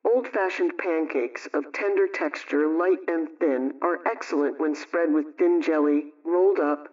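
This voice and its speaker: A man reading a scripted vintage radio homemaking show as host, giving measured recipe instructions. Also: on the high side. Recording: dull, distant old-radio sound.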